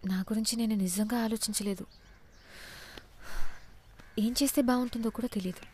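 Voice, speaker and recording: A woman's voice: a little high, fairly even intonation, normal speed, darkish tone, natural voice. An actress playing a devoted, strong-willed young woman in love, speaking intimate, naturalistic film dialogue. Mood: earnest. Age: young adult. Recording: film soundtrack with faint ambient noise.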